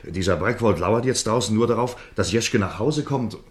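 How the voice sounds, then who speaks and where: A man acting an anxious young man in a radio drama, recorded in a reverberant room. His voice is fairly low, his delivery urgent, halting and polite as he pleads. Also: natural voice. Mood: agitated, pleading. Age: young adult. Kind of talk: German radio play acting.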